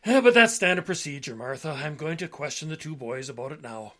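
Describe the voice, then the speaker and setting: A man's voice: fairly high pitch, lively intonation, brisk, a bit bright. A man playing an opinionated, folksy police lieutenant in an old-time radio drama, arguing his case emphatically. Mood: argumentative. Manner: emphatic, colloquial, argumentative.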